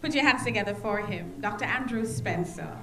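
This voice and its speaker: A woman working as master of ceremonies, announcing speakers in a lively, formal way. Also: mid-range voice, brisk, creaky, roomy sound, background noise. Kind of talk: emcee introductions.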